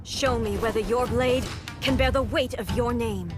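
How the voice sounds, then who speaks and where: A woman acting as an imperious female shogun from a video game, judging and stern. The voice sits a little high and speaks in solemn, formal commands, with soft music behind it. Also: moderate pace, close to an everyday voice.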